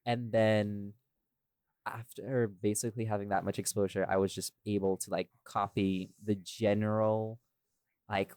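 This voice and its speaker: Guy with a lowish voice rambling in a casual chat, circles back on his words, dry close sound. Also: level tone, unhurried, darkish tone, clean tone, no echo. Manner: rambling, self-interrupting, repeats phrases. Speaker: podcast guest, voice actor.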